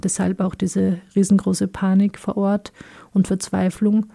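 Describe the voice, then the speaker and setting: A woman from Afghanistan, a radio interview guest answering earnestly in a measured way, often pausing to find her words. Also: mid-range voice, level tone, creaky, dark tone.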